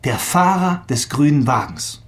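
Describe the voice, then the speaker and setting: A man's voice: on the high side, animated, measured pace. A man playing an eager, teasing young police detective in a naturalistic German radio crime drama, with a wry, conversational delivery. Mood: pressing.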